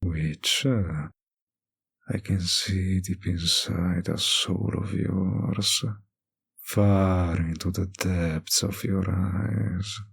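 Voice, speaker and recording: A man playing a teasing, possessive male vampire in an intimate roleplay addressed to the listener, with a husky, gravelly voice and a lingering delivery. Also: deep voice, fairly even intonation, extremely slow, exaggerated voice. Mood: coaxing, possessive.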